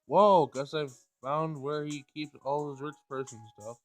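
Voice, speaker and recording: A man's voice: on the high side, fairly even intonation, measured pace. A man hosting a gameplay recording, reading a visual novel aloud and adding casual commentary of his own. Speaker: gameplay host. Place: home screen recording. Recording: music behind the voice.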